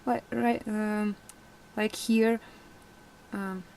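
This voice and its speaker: A young woman whose first language is Russian, chatting casually in a vlog, laughing often and pausing with fillers. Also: medium pitch, level tone, creaky, a little mellow, slight background noise, poor audio. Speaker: vlogger.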